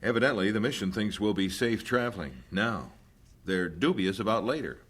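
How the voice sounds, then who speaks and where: Lively, practical missionary husband. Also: male voice, fairly low pitch, moderate pace, husky. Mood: grave. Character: steady, practical missionary husband, gently teasing. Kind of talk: radio drama acting.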